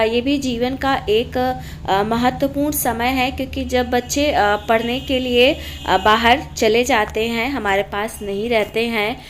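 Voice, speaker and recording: A woman's voice: fairly high pitch, a little hiss or hum. A middle-aged woman casually narrating her daily home and cooking routine as a vlogger, her throat sore from a cold. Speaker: homemaker vlogger and mother.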